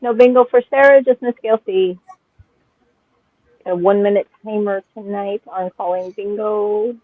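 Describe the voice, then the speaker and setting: Slow husky woman calling bingo. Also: mid-range voice, fairly even intonation, no room sound, narrow, tinny audio. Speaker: bingo caller. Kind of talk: calling a live bingo game.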